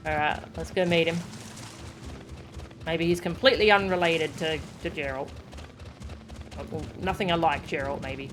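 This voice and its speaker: Low-pitched woman streaming a game. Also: steady tone, normal speed, long pauses, background noise. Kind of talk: casual live gameplay commentary, reading text aloud. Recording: game music behind the voice.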